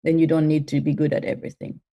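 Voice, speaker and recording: A woman's voice: low voice, completely flat delivery, fast talker, muffled recording. A middle-aged woman from Mauritius, a guest on an interview, answering thoughtfully with frequent fillers and restarts. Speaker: guest, health tech CEO.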